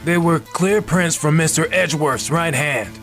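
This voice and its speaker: Guy doing a goofy eager cop, a bit high, gruff and casual. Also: moderate pace, a bit bright, faint music behind. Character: clumsy good-natured male police detective. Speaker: detective. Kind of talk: fan audio drama acting.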